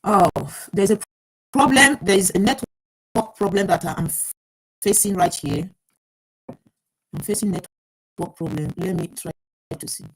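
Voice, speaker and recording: A woman hosts a live online talk, speaking through an earpiece. Her pitch sits in the middle of the usual range. She sounds flustered, thinks aloud and keeps restarting her sentences with fillers. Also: measured pace, long pauses, husky, low-quality recording. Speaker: host of an online program.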